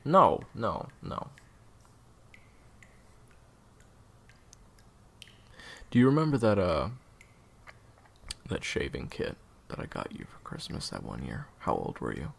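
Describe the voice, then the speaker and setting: A man's voice: low-pitched, wildly swooping pitch, moderate pace, vocal fry, fairly bright tone, exaggerated voice. A man playing a weary, evasive assassin in an audio roleplay, talking in a dry, hesitant, sarcastic way. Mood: awkward, rueful. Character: sardonic male assassin captor, weary and evasive.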